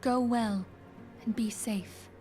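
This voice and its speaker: A woman's voice: fairly high pitch, steady tone, slow, warm tone. An actress playing a composed, gracious woman leader giving formal orders in video game dialogue over music.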